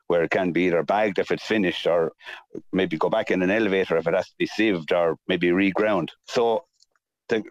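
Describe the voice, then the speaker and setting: A man with a south-east Irish accent explaining things unscripted in an interview, in measured, halting short phrases. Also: low voice, no room sound, dull audio. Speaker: mill restorer, interview guest.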